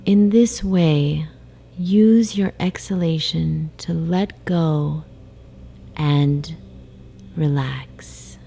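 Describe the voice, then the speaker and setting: A woman guiding a sleep meditation in a soft, soothing, hypnotic way, with breathy phrase endings and long pauses. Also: deep voice. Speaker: meditation guide. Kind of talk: guided sleep meditation, slow hypnotic narration. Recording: faint background noise, ocean waves. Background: ocean wave sounds.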